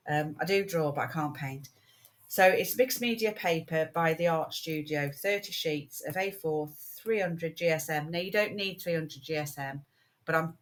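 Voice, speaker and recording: A British woman hosting a casual crafting video, chatting in a rambling way with many ums, her voice croaky from a lingering cold and cough. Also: deep voice. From United Kingdom. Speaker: craft channel host.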